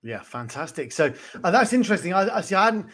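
A man's voice: high-pitched, very animated, fast, fairly bright tone. A man hosting a podcast interview, enthusiastic and rambling, often laughing. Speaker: podcast host. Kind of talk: conversational interviewing.

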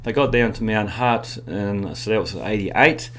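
Middle-aged New Zealand man, low voice, rambling storyteller, over a video call with faint hum. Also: unhurried. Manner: rambling, animated storyteller with many fillers. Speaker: guest. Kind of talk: casual storytelling interview.

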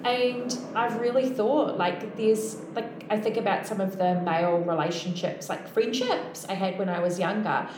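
A woman with a New Zealand accent hosts a relaxed podcast chat, chiming in and finishing her guest's sentences. Her mid-pitched voice carries a roomy echo with a faint hiss underneath. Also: moderate pace, few pauses. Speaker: podcast host. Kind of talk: casual interview chat. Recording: echoey room.

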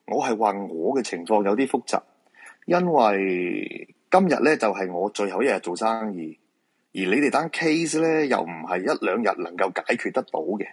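A man's voice: fairly low pitch, slightly rough. A man playing a polite, patient feng shui master in a radio drama, explaining things reassuringly with a Hong Kong Cantonese accent.

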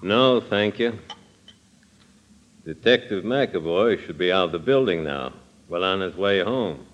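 An actor playing a big, soft-spoken, vengeful killer posing as a policeman, lisping and politely deliberate, in an old radio crime drama. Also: deep voice, expressive, muffled recording. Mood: calm, ominous. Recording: noisy old radio recording.

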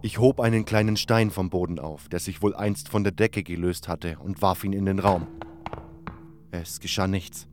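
A man narrates a fantasy audiobook in the first person. His voice is on the low side, reading scripted prose, with faint music behind him. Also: steady tone, moderate pace.

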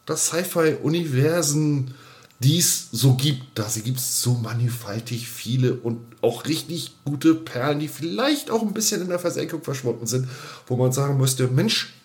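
Male podcast host, chatty and jokey, mid-pitched, repeats himself for emphasis in casual talk. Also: animated, normal speed.

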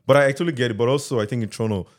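A man's voice: mid-range voice, very fast. A man with a Nigerian accent, a podcast co-host chatting casually and often checking in with "right?". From Nigeria (Delta). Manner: tags remarks with "right?", easygoing. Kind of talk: casual chat.

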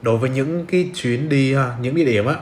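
A man's voice: medium pitch, quick pace. A man with a southern Vietnamese accent hosting a casual podcast interview, asking questions and giving short agreeing replies. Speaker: podcast host. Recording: roomy sound with faint noise.

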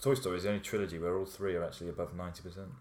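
A hungover man co-hosting a film podcast with dry, deadpan jokes. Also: deep voice, racing, a little hiss or hum. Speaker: podcast co-host. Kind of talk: casual chat. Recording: echoey room with music underneath.

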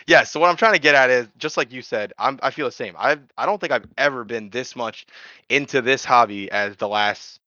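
A man in his thirties hosting a casual podcast chat, rambling and animated with lots of fillers. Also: medium pitch, fairly quick, no room sound, highs cut off. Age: 30s. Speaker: podcast host.

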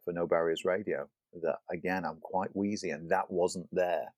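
A man with a deep, low-pitched voice hosts a casual film podcast, teasing his co-host in a playful way. The sound is dry and close, with no room echo. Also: fairly even intonation, moderate pace, a little mellow.

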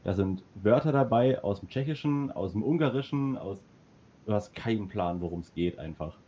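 A man's voice: lowish voice, expressive, moderate pace, warm tone, a little hiss or hum. A man chatting casually in a group, rambling enthusiastically with lots of fillers. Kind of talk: casual chat.

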